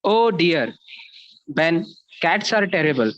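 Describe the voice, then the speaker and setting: A busy father brushes off his son's request with a firm, apologetic refusal, as a man reads the part. He speaks in a fairly high voice. The recording is dry and a little muffled. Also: sing-song, slow, fairly bright tone, dry recording, exaggerated voice. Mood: dismissive.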